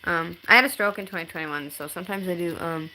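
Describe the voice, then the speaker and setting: A woman in her thirties with a bright, clear voice, talking casually to viewers on a phone live stream. She chats in a halting, easygoing way, full of ums and restarts, and reads comments aloud from home. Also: fairly low pitch, a little hiss or hum, poor audio. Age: 30s.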